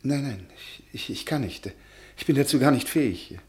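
A man's voice: medium pitch, expressive, normal speed, a little mellow, natural voice. An actor playing a shy, hesitant male history professor, stammering and unsure, in an old German radio play.

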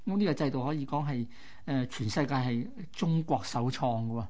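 A man's voice: medium pitch, a little fast, a little mellow. A man with a mid-range voice tells history as a co-host. He explains in colloquial Hong Kong Cantonese, like chatting, with vivid exclamatory phrasing. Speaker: radio co-host. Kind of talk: conversational history storytelling.

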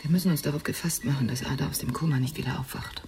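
A woman's voice: low voice, racing, over music. An actress playing a composed middle-aged mother-in-law in a German radio drama, speaking soberly and matter-of-factly. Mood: sober, grave.

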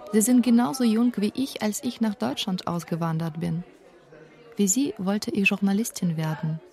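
Mellow, reflective thirty-year-old woman from Belarus. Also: medium pitch. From Minsk, Belarus. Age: 30s. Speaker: feature author and narrator. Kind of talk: scripted first-person documentary narration.

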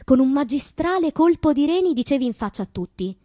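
An actress playing an anguished woman poet in a theatrical, declamatory monologue with long pauses. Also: high voice, lively intonation, dark tone, no echo, studio quality. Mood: wry, bitter. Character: anguished woman poet recalling loves, madness and death. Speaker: stage actress.

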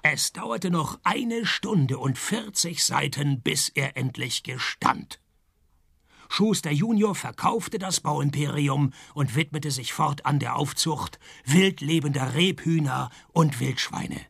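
A man playing a laconic, deadpan private detective who also narrates a radio play, in a husky voice. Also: fairly high pitch, takes it slowly, no room sound, full character voice. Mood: laconic narration. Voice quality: husky, gravelly. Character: male private detective, dry, unimpressed, probing, also the narrator. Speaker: lead actor and narrator. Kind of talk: scripted radio detective comedy.

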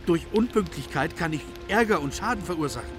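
A man's voice: a little high, lively intonation, brisk, music in the background, close to an everyday voice. An actor playing a thin, grey, timid man in a radio play, pleading anxiously and with pedantic politeness.

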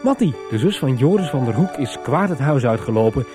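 A man's voice: medium pitch, lively intonation, brisk. A man narrating a scripted recap for a radio serial. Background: music.

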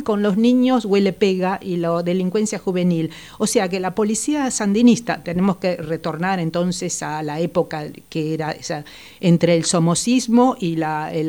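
A woman's voice: fairly low pitch, darkish tone. An older woman born in Italy, speaking, recalls her work as a psychologist in a reflective, hesitant way, with many fillers. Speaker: psychologist guest. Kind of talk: interview, personal recollection.

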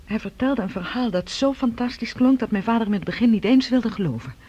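An actress playing the earnest, formal adult daughter of a scientist in a radio drama, speaking persuasively. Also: female voice, fairly high pitch, lively intonation, a little fast, dark tone, breathy edge. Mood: grave, confiding. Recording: dull, lo-fi radio recording.

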